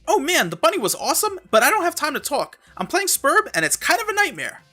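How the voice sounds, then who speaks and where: A woman playing an excitable, exasperated young boy in a flustered, exclamatory way in a fan webcomic dub. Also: high-pitched, fast talker, faint music behind, heavily acted. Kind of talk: fan comic dub acting.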